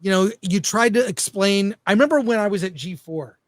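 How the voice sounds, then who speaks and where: A man's voice: high-pitched, a little fast, dry recording. A middle-aged man hosting a casual panel talk, putting questions to his guests. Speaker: show host.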